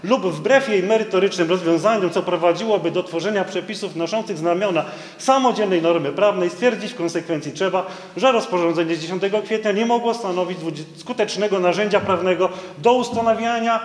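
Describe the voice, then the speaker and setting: A middle-aged man stands at a stand microphone and gives a conference talk in a high-pitched voice. He reads legal passages aloud and stumbles through fillers and restarts between them. His words ring back through a large, reverberant hall. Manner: halting, with many fillers and restarts, reading legal text aloud. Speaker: doctor giving a conference talk. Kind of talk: conference lecture reading out court rulings. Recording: echoey hall, long reverb.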